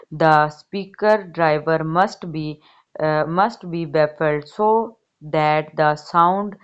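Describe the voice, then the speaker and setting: A woman reading an encyclopedia article aloud, haltingly, stumbling over words and repeating them. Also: sits low. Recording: dull, lo-fi recording.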